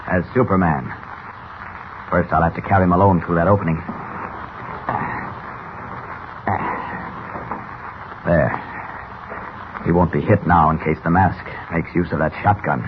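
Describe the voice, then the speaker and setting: An American actor playing a mighty, fearless superhero in 1940s radio style, commanding, scornful and declamatory. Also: male voice, deep voice, steady tone, moderate pace, pauses often, lightly acted. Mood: stern, triumphant. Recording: noisy, muffled old radio serial recording.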